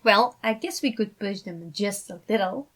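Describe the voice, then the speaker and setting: Woman voicing an earnest, expressive young man, lifted pitch. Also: moderate pace, lightly acted. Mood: reassuring. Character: earnest, caring young man, upbeat but concerned host. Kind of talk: acted dialogue in a fan fiction reading.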